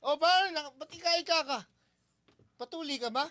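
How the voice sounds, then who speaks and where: A man with a Filipino accent, co-hosting a radio show with excitable, joking interjections and banter. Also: extremely high pitch, moderate pace. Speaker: radio co-host. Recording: music playing behind the voice. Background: music bed.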